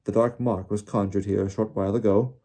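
A man's voice: fairly low pitch, steady tone, a little fast, warm tone. A man voicing a stern, officious wizard official in an audiobook, pompous and accusing as he interrogates. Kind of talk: audiobook character voice.

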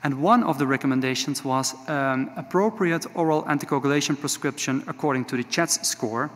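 A man in his forties with a Dutch accent, giving a measured conference talk with frequent filler sounds. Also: mid-range voice. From Netherlands. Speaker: conference speaker. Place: large conference hall. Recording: reverberant conference hall.

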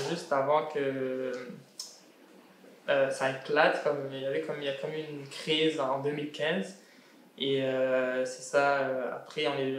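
A man's voice: medium pitch, slight background noise. Hesitant young man from Burundi. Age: 20s. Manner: hesitant, many pauses and fillers. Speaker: panel guest. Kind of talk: casual panel discussion. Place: indoor salon with brick walls. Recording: echoey room.

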